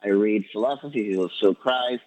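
A man from Ecuador, a poet being interviewed, answering in a quick, halting way with many restarts and fillers. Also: fairly low pitch, highs cut off. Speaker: poet guest.

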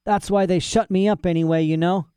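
Man acting mad elderly professor, high strained pitch, cranky, boastful, pompous, agitated storybook character. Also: steady tone, brisk, dark tone, smooth, no room sound, studio quality, lightly acted. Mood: boastful, paranoid. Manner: agitated, querulous, pompous, punctuating talk with "eh". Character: mad, cranky, boastful old professor chained in a prison cell.

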